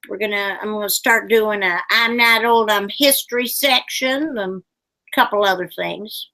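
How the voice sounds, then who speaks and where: Kobieta grająca gadatliwą 95-letnią babcię. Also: female voice, mid-range voice, unhurried, sharp tone, low-quality recording. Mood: chatty. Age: older. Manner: rambling, folksy, sighing. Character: chatty 95-year-old granny puppet, sleepy.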